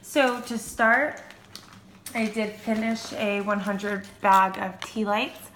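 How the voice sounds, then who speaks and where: A woman's voice: mid-range voice, fairly even intonation, slow, fairly bright tone. Chatty stuffed-up young woman vlogging. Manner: chatty, lots of "like" and "um". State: stuffed-up nose and sore throat. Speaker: beauty vlogger. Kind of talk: casual chat. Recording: echoey room with faint hum.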